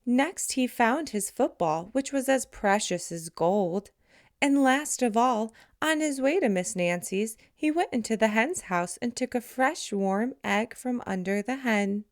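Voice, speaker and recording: A woman reading a picture book aloud to children in a deliberate, expressive storytelling manner. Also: a little high.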